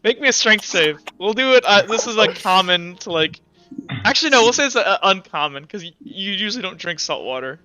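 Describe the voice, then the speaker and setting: A man's voice: sits high, lively intonation, brisk, pushed and bright, no echo, dull audio, faint music behind. A man working as game master, narrating a tabletop roleplaying game in a casual, sometimes profane way.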